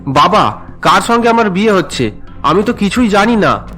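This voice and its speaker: A man's voice: high voice, sing-song, moderate pace, bright, forceful tone, faint music behind, full character voice. An actor voicing a resentful young man, an unwilling groom in a cartoon, whiny and indignant. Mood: puzzled.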